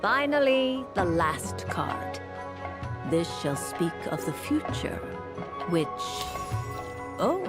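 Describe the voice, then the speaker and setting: An actress playing a refined woman mage and fortune-teller in a video game, speaking formally and courteously with measured eloquence. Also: on the low side, big pitch swings. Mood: solemn, guiding. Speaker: fortune-telling mage character. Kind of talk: video game character acting. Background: music.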